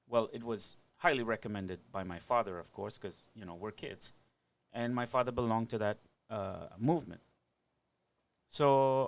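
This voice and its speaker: A man in his forties who grew up in Trinidad and Tobago and Venezuela talks through a personal monologue for his podcast. He rambles, circles back on his own points, and keeps checking in with "right?". His voice sits at an everyday middle pitch. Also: fairly bright tone. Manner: rambling, tags thoughts with "right?".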